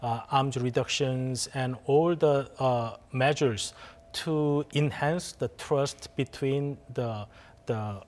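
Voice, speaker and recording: Halting man giving news analysis. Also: medium pitch, darkish tone. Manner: halting, repeats and restarts phrases. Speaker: studio guest analyst. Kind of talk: live news interview analysis.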